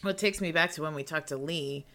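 A woman co-hosting a casual podcast discussion, thoughtful and quick to laugh. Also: low-pitched, a bit bright. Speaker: podcast co-host, music teacher.

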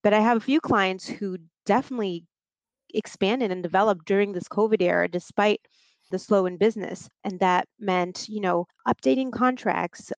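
A woman's voice: medium pitch, moderate pace, dry recording. A woman in her forties from southern France, whose first language is French, explaining her business law practice with frequent restarts. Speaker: guest, business attorney. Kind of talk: interview monologue.

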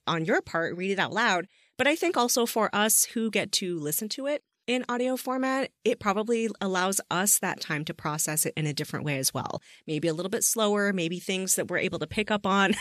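A woman's voice: medium pitch, a bit bright, no echo, clean studio sound. A woman hosting a podcast, interviewing a guest in a casual, chatty and effusive way. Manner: enthusiastic, effusive.

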